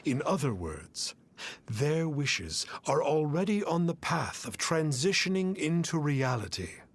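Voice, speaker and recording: A man's voice: on the high side, big pitch swings, a little slow, a little hiss or hum. A man playing a pompous self-proclaimed immortal adept in a video game, speaking in grandiose archaic phrases. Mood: lofty, smug. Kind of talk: theatrical video game voice acting.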